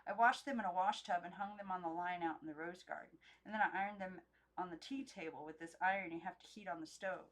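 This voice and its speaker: A woman voicing a shy, earnest young girl in a storybook, speaking in a halting, rambling way. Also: on the low side, fairly bright tone, close to an everyday voice, rough recording. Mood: earnest, proud.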